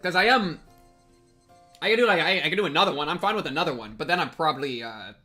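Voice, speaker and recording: A man hosting a live game playthrough, excitable and given to repeating his phrases, bantering with chat and reading game text aloud. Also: a little high, fast talker, soft background music. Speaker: livestream host.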